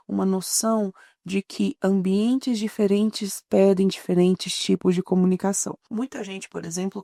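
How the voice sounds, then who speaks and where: A woman's voice: mid-range voice, creaky, a little mellow, no echo, studio quality. A young woman with a Mineiro Brazilian accent, giving opinionated reaction commentary with firm explanations and hesitant restarts. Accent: Mineiro Brazilian Portuguese. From Minas Gerais, Brazil.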